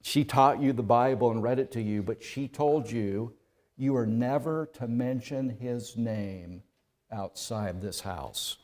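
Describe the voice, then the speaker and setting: A male pastor preaching a sermon, telling a story in the second person and swelling from hushed passages to loud ones. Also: mid-range voice, slow pace.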